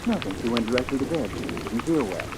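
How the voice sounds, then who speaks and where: A man's voice: mid-range voice, very animated, fast talker, heavy background noise, natural voice. A man playing a scheming, anxious nephew in an old-time horror radio drama, dramatic and given to urgent repetition. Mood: tense, wounded. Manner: dramatic, repeats phrases urgently. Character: scheming, anxious nephew plotting his aunt's death. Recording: old radio recording under loud rain and thunder.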